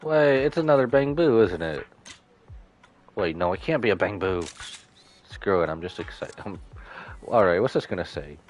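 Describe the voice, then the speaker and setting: Animated, low-pitched male game streamer. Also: moderate pace, pauses often, a little hiss or hum. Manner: animated, casual. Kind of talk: live reaction commentary and reading game text aloud. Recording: faint game music behind.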